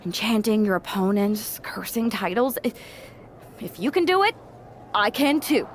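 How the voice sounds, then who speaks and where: A woman's voice: medium pitch, big pitch swings, measured pace. A woman voicing an eager, overdramatic young wrestler who wants to be a witch, in a video game. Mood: indignant, determined. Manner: perky, pleading, overdramatic. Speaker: voice actor in a video game cutscene. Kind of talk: animated video game acting.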